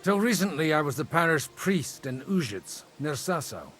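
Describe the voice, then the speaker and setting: A wry, worldly warrior priest. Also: male voice, fairly high pitch, very animated, fairly bright tone, faint music behind. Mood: dry, calm. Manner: measured, dryly witty, quotes Latin. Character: worldly, wry, sword-wielding medieval parish priest. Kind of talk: video game cutscene acting.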